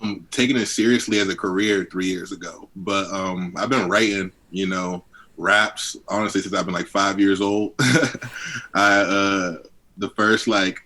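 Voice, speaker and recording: Deep-voiced young man from D.C. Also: steady tone, a little slow, bright, forceful tone. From Southeast Washington, D.C. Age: 20s. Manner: laid-back, heavy on fillers, chuckles often. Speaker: guest rapper. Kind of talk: casual interview chat.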